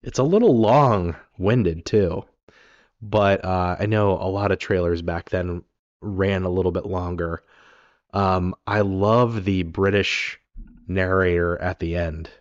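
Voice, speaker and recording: A man co-hosting a casual film talk, his voice raspy from being sick, rambling with many ums and restarts. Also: sits low, slow, dry recording, highs cut off. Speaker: podcast co-host.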